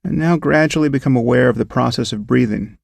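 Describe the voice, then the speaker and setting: A man calmly guiding a meditation, giving slow, gentle instructions about breath and sensation. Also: medium pitch, creaky, no room sound. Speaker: meditation guide. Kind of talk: guided meditation.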